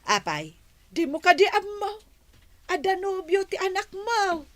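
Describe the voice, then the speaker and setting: Village gossip for radio drama woman acting: prying, insinuating busybody, high-pitched and very animated. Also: a little slow, no room sound, full character voice. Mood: gossipy, prying.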